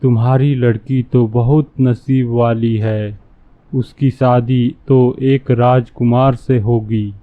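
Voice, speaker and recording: A man's voice: medium pitch, steady tone, takes it slowly, no room sound, lightly acted. A man playing a scheming, lecherous fake holy man in a cartoon, speaking in a sly, pompous way. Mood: smoothly persuasive. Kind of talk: cartoon dialogue. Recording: narrow, phone-like muffled sound.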